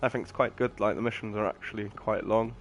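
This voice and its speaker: A low, gravelly British man chats his way through a video game in a flat, unhurried ramble. His casual streamer commentary sits over clear game music. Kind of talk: casual gameplay commentary with banter. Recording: game music and sounds behind.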